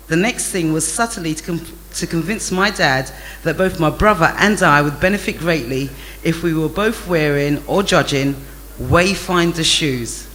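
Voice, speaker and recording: A middle-aged man, reading a childhood memoir in an animated, amused way. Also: fairly high pitch, slight background noise, low-quality recording. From England.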